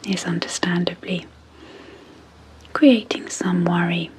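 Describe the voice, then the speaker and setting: A woman speaking softly and breathily in a gentle, measured ASMR sleep hypnosis style, leaving long pauses between short phrases. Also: on the low side, fairly even intonation, a little hiss or hum. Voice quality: soft, breathy, near-whispered. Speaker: psychologist hosting an ASMR channel. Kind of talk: soft-spoken ASMR sleep hypnosis introduction.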